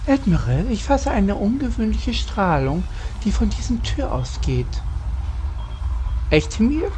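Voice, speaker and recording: A woman's voice: mid-range voice, very animated, measured pace, warm tone, a little hiss or hum. A woman reading game dialogue aloud as a player.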